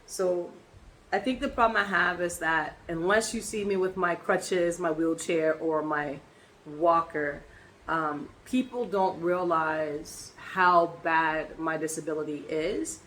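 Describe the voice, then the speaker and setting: A woman with a hoarse voice from laryngitis, chatting casually in a personal vlog and rambling with many ums and restarts. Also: on the low side, fairly even intonation, slow, a bit bright, slight background noise, rough recording. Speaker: vlogger.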